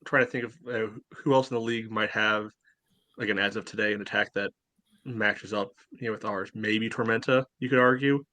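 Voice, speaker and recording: Low-voiced man, dry close sound. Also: steady tone, brisk, bright, forceful tone, no room sound. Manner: loose, leans on "you know". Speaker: podcast co-host. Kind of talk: casual sports chat.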